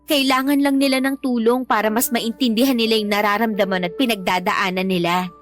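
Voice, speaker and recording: A woman acts out an earnest young student in a radio drama. Her voice is very high, and she pleads with respectful but firm conviction. Soft music runs underneath. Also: steady tone, fairly quick, natural voice. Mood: earnest, concerned. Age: young adult. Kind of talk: Tagalog radio drama acting.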